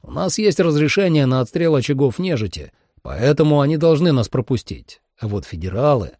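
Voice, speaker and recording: A man's voice: medium pitch, very animated, brisk, mellow, no echo, studio quality, pitched above the performer's own voice. A man voicing a businesslike monster hunter giving a matter-of-fact briefing in an audiobook. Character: businesslike male monster hunter reporting to his leader.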